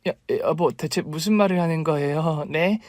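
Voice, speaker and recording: A man voicing an arrogant, mocking younger sister-in-law in a spoken story, whose sharp talk turns to stammering fear. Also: on the high side, moderate pace, no room sound, studio quality, voice pushed up, natural voice. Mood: frightened.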